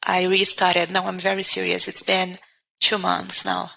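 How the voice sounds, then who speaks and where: Portuguese-accented woman, language teacher as guest, crisp bright tone, thinks aloud with restarts. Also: lowish voice, monotone, measured pace, rough recording. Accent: Portuguese-speaking. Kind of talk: casual interview.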